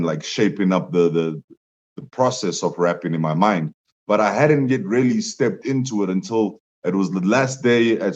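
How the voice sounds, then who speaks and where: Deep-voiced man, animated storyteller. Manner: animated storyteller, laughs often, voice jumps up for quoted lines. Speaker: musician guest. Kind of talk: casual interview storytelling. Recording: dull lo-fi sound.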